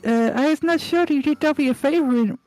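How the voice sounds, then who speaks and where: A woman voicing a timid female house elf servant with a high, quivering squeak and broken, deferential grammar. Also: quick pace, darkish tone, faint music behind, lightly acted. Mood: timid servile.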